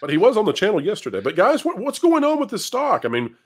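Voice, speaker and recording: A man's voice: high-pitched, wildly swooping pitch, fast talker, breathy edge. A man hosting commentary and panel talk, with an emphatic, wordy presenter's delivery. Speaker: host of an entertainment business news channel.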